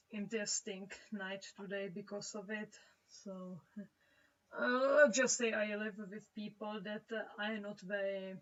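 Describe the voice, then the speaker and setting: Slow, hesitant Czech-accented woman. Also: medium pitch. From Czech Republic. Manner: hesitant, halting, searching for words. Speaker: livestreaming comic artist. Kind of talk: casual livestream chat while drawing. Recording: dull, distant-sounding home microphone.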